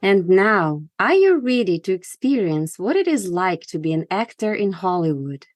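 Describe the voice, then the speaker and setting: A woman's voice: mid-range voice, very animated, moderate pace, no echo. A woman hosting a podcast, reading scripted narration. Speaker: podcast host.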